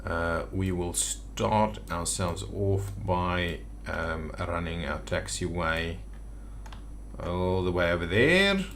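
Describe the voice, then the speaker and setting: A man's voice: deep voice, lively intonation, slow, a bit bright, slight background noise. A man giving casual gameplay commentary as a video host, thinking aloud and muttering to himself. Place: indoors at a computer.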